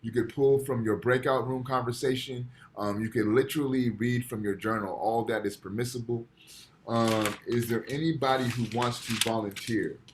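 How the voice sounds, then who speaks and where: A man's voice: medium pitch, steady tone, moderate pace, noisy background. A man giving a university lecture over a video call, explaining ideas step by step and checking in with "right?" after each point. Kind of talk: online lecture. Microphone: computer mic on video call.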